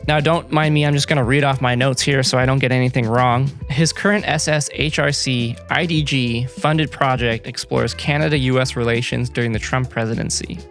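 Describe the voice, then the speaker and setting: A man hosting a podcast, casually reading a guest introduction from his notes, with soft music underneath. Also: mid-range voice, fairly even intonation, normal speed, hardly any pauses. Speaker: podcast host.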